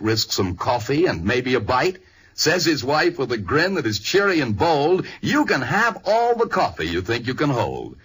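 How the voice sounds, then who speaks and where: A man with an American accent and husky voice, reciting a rhymed vintage radio advertisement in theatrical sing-song verse. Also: mid-range voice, a bit bright, a little hiss or hum, low-quality recording. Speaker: commercial announcer.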